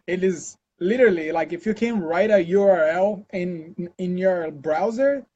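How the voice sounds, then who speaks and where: A Brazilian man with a Brazilian accent, answering interview questions in a relaxed, chatty way full of fillers and restarts, over a video call. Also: sits high, measured pace, noisy background. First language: Portuguese. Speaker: interview guest, scientist. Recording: dull lo-fi call audio.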